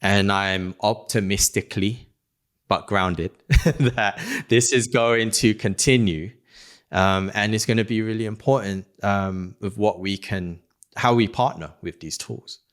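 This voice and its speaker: A man hosts a relaxed podcast conversation. His voice is deep and low. He reflects aloud and asks long questions, pausing on "um" and "you know.". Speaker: podcast host. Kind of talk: casual conversational interview.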